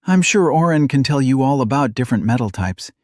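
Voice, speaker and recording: A man's voice: mid-range voice, animated, a little fast, no echo, clean studio sound, pitched above the performer's own voice, natural voice. A man voicing a confident, teasing young woman adventurer with a sarcastic, bantering manner in an audiobook. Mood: amused, confident.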